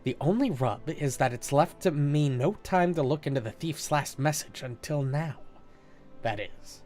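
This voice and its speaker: A man's voice: a little high, lively intonation, normal speed, a little mellow, natural voice. A man reading a wry newsman. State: slight cold, a little congested. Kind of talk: reading game dialogue aloud.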